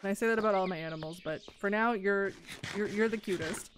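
A young woman casually commentating her Minecraft let's play, excitable and gushing over cute animals, a little hoarse. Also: mid-range voice, quick pace, a little hiss or hum. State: slightly hoarse. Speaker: gaming YouTuber.

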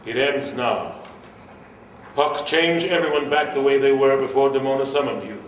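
A man playing a noble gargoyle leader, solemn, commanding and deliberate, in a live radio play. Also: medium pitch, natural voice. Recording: echoey distant live hall, slight noise.

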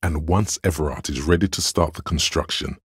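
An actor voicing a cool, analytical inner voice of logic in a detective game, narrating in a measured, dryly wry way. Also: male voice, rumbling low, husky, no room sound, clean studio sound, exaggerated voice. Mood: matter-of-fact. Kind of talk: scripted second-person game narration.

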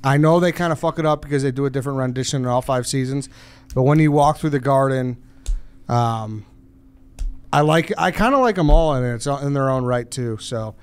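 A man's voice: medium pitch, a little fast, clean tone. A man co-hosting a casual podcast in a studio, rambling as he thinks aloud. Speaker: podcast co-host.

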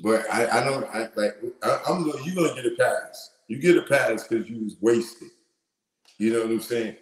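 A man's voice: mid-range voice, moderate pace, a little hiss or hum. A middle-aged man with a big voice, hosting a casual live commentary show, talking through an earphone mic in a small room. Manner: animated, slangy, acts out quoted voices. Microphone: wired earphone mic. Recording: echoey room.

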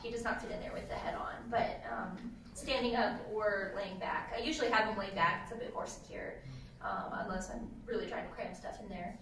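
A woman on a panel talks about her droid, relaxed and halting, with many ums. Her voice is somewhat high. It echoes heavily through a big hall, picked up from far off with noise behind it. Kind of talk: convention panel talk. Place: large convention hall panel room. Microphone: table mic recorded by a far camera in the audience.

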